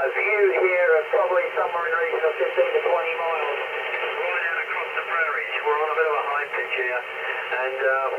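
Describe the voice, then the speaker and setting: A British man chats casually over amateur radio in a fairly high voice, often halting and repeating himself. He sounds distant and muffled, with heavy static all over the transmission. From United Kingdom. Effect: shortwave radio transmission.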